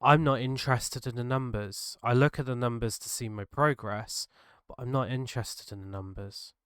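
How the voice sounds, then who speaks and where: A British man hosting his own podcast, talking earnestly and haltingly, his voice gravelly from a cold. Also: mid-range voice, a bit bright, no echo, clean studio sound. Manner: earnest, halting, restarts mid-thought. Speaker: podcast host. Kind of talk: solo reflective podcast monologue.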